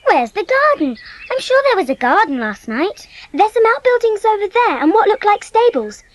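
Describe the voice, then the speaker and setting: An imaginative young British girl in a storybook dramatisation. Her voice is extremely high and lilts up and down. She sounds earnest, eager and full of wonder. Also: sing-song, moderate pace, slight background noise. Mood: curious, wondering.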